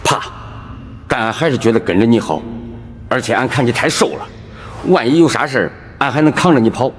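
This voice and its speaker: A man playing an earnest, simple, loyal country lad in animated drama, plain-spoken. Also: mid-range voice, a little fast, a little hiss or hum, close to an everyday voice. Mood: timid, loyal.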